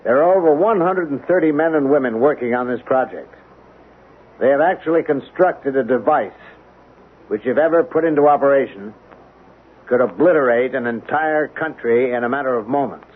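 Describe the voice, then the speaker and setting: An actor as an earnest colonel on tinny radio. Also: male voice, mid-range voice, slightly rough, natural voice. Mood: grave, explaining. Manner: measured, emphatic, rhetorical questioning. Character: earnest, protective male military colonel, middle-aged. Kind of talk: 1970s radio drama acting. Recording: tinny, band-limited 1970s radio recording.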